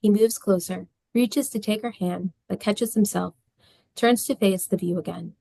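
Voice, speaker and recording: A woman's voice: mid-range voice, moderate pace, creaky, dark tone, a little hiss or hum. A woman narrating the stage directions of a screenplay at a table read.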